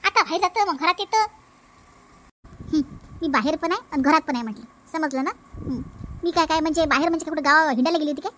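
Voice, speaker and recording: A woman's voice: sits high, fast talker, pauses often, fairly bright tone, a little hiss or hum. A woman voicing a proud, determined young village wife in a family cartoon, assertive and emphatic, with a Vidarbha Marathi accent. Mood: defiant. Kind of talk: comic family cartoon dialogue.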